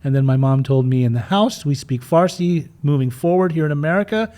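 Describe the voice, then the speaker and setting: A man in his forties tells his story in a loose, candid way, often restarting his sentences. He was raised in Germany and then Utah, and German was his first language. His voice sits a little higher than most men's. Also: brisk, warm tone. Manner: rambling, frank, frequent restarts. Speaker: podcast guest. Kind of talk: casual storytelling interview.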